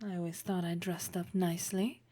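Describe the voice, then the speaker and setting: A woman playing a poised, teasing, wealthy female boss in an intimate, breathy audio roleplay, speaking with deliberate, flirtatious formality. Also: lowish voice, little pitch movement, dark tone, natural voice. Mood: playful, admonishing. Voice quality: breathy, husky.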